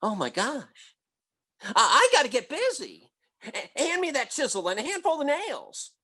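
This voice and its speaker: A blustering, bumbling husband played by a man in a vintage radio comedy. He stammers through folksy exclamations, and his pitch jumps all over the place. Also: huge pitch swings, moderate pace, sharp tone, exaggerated voice. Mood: flustered, eager. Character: blustering, bumbling middle-aged husband, handyman and tall talker. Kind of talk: old-time radio comedy acting.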